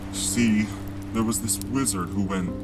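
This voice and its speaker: A man playing a big, gruff, kindly, emotional giant of a man in an audio drama, speaking bluntly in rustic dialect with exclamations. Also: lowish voice, a little slow, loud music behind the voice. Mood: anxious, reluctant.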